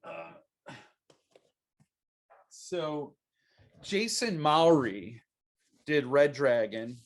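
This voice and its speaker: A man's voice: fairly high pitch, very animated, extremely slow. A man from the United States hosting a casual interview, chatty and self-deprecating, with frequent restarts. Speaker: podcast host.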